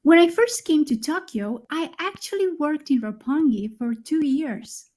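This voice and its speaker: A young woman speaking her part in a scripted conversation for English learners, clear and friendly. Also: sits high, animated, a little slow, mellow. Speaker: speaker in a recorded dialogue.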